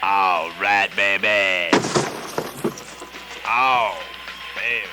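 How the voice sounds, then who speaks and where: A man's voice: on the low side, extremely slow, over loud music. A man doing an impression of a hip, swaggering radio disc jockey in a satirical play.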